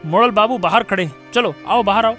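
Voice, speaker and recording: A man acts a brisk, insistent villager in a cartoon tale. His voice is high and sharp, with light music underneath. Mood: urgent. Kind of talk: Hindi cartoon moral story dialogue.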